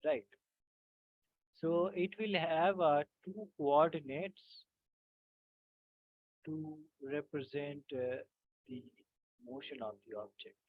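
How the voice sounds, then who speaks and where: A man's voice: fairly high pitch, no room sound. Man teaching over tinny call audio. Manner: halting, many fillers, keeps checking with "right?". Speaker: physics teacher. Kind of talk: online class lecture. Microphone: video call.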